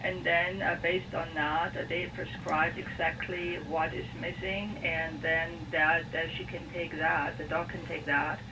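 Distant and noisy, like radio played in a room, a woman gives informal advice as a phone-in expert. She speaks evenly, in a measured and explanatory way. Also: on the low side, flat delivery. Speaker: dog expert guest on a phone-in.

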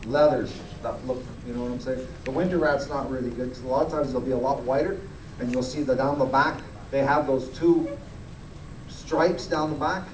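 A man's voice: mid-range voice, background noise. A middle-aged man giving a plain-spoken live grading demonstration to an audience in a large indoor hall, often asking them to look closer. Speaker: fur grader. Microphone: far camera. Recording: echoey hall, camera from far off.

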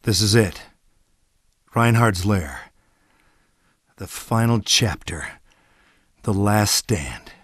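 An actor playing a cocky, wisecracking monster-fighting hero in a video game, with a deadpan, sardonic delivery. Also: male voice, lowish voice, drawn-out words, pauses often, husky, no echo, clean studio sound, exaggerated voice. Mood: grim. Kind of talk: video game cutscene acting.

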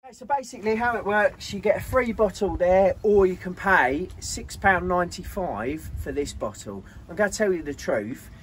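A middle-aged British man chats casually to the camera in a fairly high, very animated voice. He banters and teases as he goes. Clear background noise sits behind him on the camera's audio. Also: moderate pace, few pauses, rough recording. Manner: jokey, teasing banter. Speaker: vlog host. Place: camper van, farm yard and outdoor spots.

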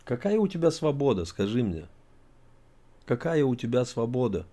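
A man's voice: medium pitch, big pitch swings, moderate pace, warm tone. A middle-aged man from Tajikistan hosting a heated video-chat argument, lecturing and emphatic, talking over a video call from an indoor room. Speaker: host.

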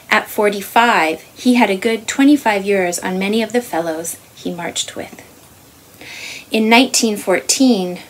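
A middle-aged woman reads literary non-fiction aloud in a deliberate way, phrase by phrase. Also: mid-range voice, poor audio. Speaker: author reading aloud. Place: small room with wooden beamed ceiling.

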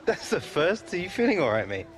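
A young man playing a warm, proud footballer and big brother in a naturalistic video game cutscene. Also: high-pitched, wildly swooping pitch, a little fast, over music. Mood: upbeat. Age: young adult.

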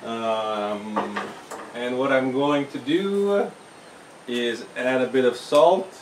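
A middle-aged man hosting a home cooking channel, talking to the camera in a slow, gentle way with fillers and restarts, in a small brick-walled kitchen. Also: mid-range voice. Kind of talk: casual talk to camera. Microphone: camera mic from across the room. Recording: roomy, distant camera sound with faint hiss.

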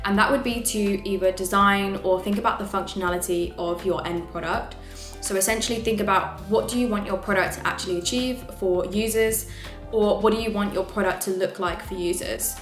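A woman's voice: medium pitch, fairly even intonation, fairly quick, echoey room, faint music behind. A young woman vlogger who talks to camera from her home desk and explains how she plans coding projects step by step, in a practical, casual way. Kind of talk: casual tutorial talk to camera.

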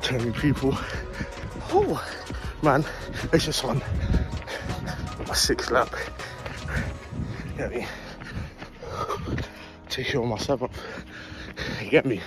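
A young man with a London accent talks to his phone held at arm's length outdoors, slangy and chatty. His voice sits in a middle register and swings up high when he gets animated. There is faint music behind him. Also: takes it slowly, pauses often, poor audio. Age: young adult. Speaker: vlogger. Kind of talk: casual vlog talk to camera. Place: outdoors in a park and streets at dawn, also a bedroom.

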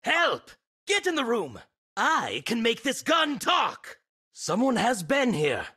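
Casting: theatrical showman soldier for a shooter game; cheeky, forceful, sharp-edged rasp, exclamatory delivery. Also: very high voice, wildly swooping pitch, slow, heavily acted. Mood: urgent shouting. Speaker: video game character voice pack. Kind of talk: shouted battle royale game callouts.

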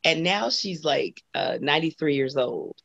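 A middle-aged woman from Los Angeles with a husky voice, talking as a guest singer on a video call. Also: low voice, animated, moderate pace, fairly bright tone, no echo. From Los Angeles, USA. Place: home room indoors. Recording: dull lo-fi video call audio.

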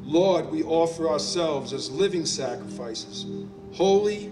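An older man with a New Jersey accent prays slowly and earnestly at an outdoor podium. His somewhat high voice carries through an echoey PA and is picked up from a distance, with music playing underneath. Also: animated. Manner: slow, earnest declaring. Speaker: prayer leader at a public gathering. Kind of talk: public prayer. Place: outdoors on city hall steps. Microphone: podium mic on a stand.

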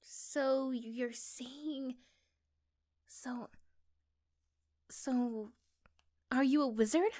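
A woman playing an affectionate, curious girlfriend in an ASMR roleplay, speaking gently and haltingly. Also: high voice, steady tone. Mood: hesitant, curious.

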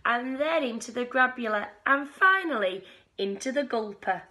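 A woman in her thirties reading a children's picture book aloud expressively, with dramatic pauses and drawn-out key words. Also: fairly high pitch, lively intonation, sharp tone. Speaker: storyteller reading a bedtime picture book for schoolchildren. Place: small living room at home, indoors.

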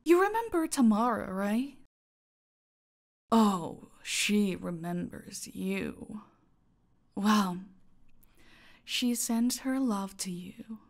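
A woman playing a gloating, menacing female cartel boss in an audio roleplay, commanding and taunting, often dropping to a breathy murmur. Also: medium pitch, expressive, drawn-out words, long pauses. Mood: smug, menacing.

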